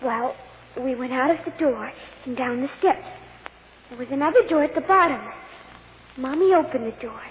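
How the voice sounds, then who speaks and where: A woman's voice: high voice, normal speed, close to an everyday voice. A woman playing an innocent, earnest little girl witness with an American accent in an old radio drama, speaking in an artless, hesitant way. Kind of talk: 1940s-50s American radio drama acting. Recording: muffled, hissy old radio recording, reverberant.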